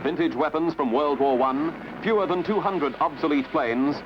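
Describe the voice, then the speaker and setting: A man narrates a scripted newsreel in a dramatic, measured, slightly wry way. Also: fairly high pitch, noisy background. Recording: dull lo-fi archival film soundtrack with music. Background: prominent music.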